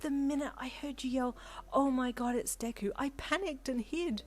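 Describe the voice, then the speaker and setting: A timid shop worker and devoted fan , played by a woman narrating a story. The voice is raised high and breathy, full of emphatic awe and quick to panic. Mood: panicked.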